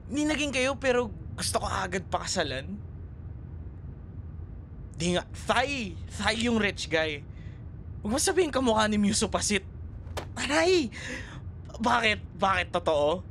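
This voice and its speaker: A man's voice: high-pitched, big pitch swings, a little slow, long pauses, a bit bright, heavily acted. Playful Filipino ex-boyfriend, acted by a man, noisy car. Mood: playful protesting. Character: teasing young Filipino man, ex-boyfriend driving his old flame. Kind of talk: ASMR boyfriend roleplay, casual intimate chat.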